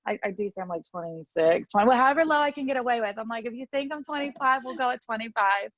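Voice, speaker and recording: A woman's voice: a little high, expressive, fast talker, fairly bright tone, no room sound. A woman, telling a personal story candidly as an interview guest. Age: 30s. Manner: candid, chatty, lots of fillers. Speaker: podcast guest. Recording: dry, slightly muffled audio.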